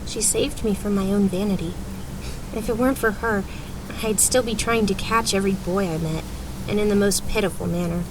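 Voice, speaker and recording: A woman's voice: moderate pace, lightly acted. A woman playing an earnest teenage girl in an audio drama, speaking in a confessional way. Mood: earnest, regretful. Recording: rumbling background ambience noise.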